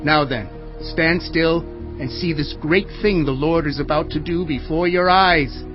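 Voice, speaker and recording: An actor playing an old gray prophet, solemn and emphatic, declaiming in a dramatized audio Bible. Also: male voice, a little high, a little slow, lo-fi sound, music in the background, natural voice. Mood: stern, admonishing. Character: old gray prophet, stern, solemn leader addressing his people.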